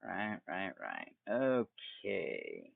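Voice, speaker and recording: A man giving a casual step-by-step software tutorial, folksy and thinking aloud as he goes. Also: sits low, huge pitch swings, drawn-out words, creaky, no room sound. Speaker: tutorial host. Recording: tinny, phone-like narrow band.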